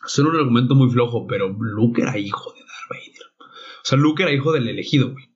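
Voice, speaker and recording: Brief: young Mexican man, mid-pitched, blunt co-host, swears freely, muffled lo-fi podcast. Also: a little fast. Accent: Mexican Spanish. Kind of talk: casual chat. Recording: dull lo-fi podcast audio.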